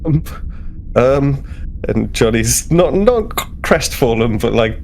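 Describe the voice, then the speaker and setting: A man's voice: mid-range voice, very animated, slow pace, a little mellow, slight background noise. A man playing a tabletop role-playing game, casually improvising narration of what his character does. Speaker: tabletop role-play player.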